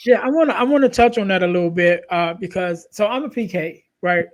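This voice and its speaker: A soft-voiced man hosting a podcast, talking in an animated, casual conversational way. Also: high voice, brisk. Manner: animated, frequent "you know what I mean". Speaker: podcast host.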